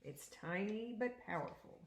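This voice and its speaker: A woman with a mid-range voice reads a picture book aloud for young children. She phrases things deliberately, like a teacher making each point understood. The room around her voice is noticeably echoey. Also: medium pitch, animated, slight background noise. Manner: deliberate teacherly phrasing, pausing to explain words. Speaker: second-grade teacher.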